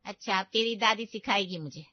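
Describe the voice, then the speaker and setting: A woman's voice: medium pitch, a little fast, bright, forceful tone, no room sound, dull audio. A woman voicing a weary middle-aged mother and grandmother in a story, protesting yet giving in. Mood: exasperated.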